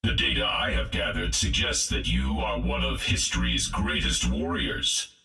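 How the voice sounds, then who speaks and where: An actor voicing a cold, superior robot villain in a game, pompous and precise. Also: male voice, extremely low pitch, normal speed, gravelly, bright, forceful tone, roomy sound, soft background music, heavily acted. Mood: cold, condescending.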